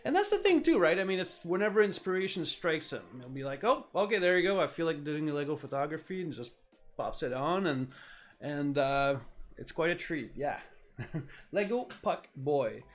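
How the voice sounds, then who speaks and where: A man with a somewhat high voice, whose first language is French, talks casually on his live stream. He rambles with lots of fillers and restarts. Faint music plays behind him. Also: big pitch swings, normal speed. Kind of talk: casual live-stream chat while building.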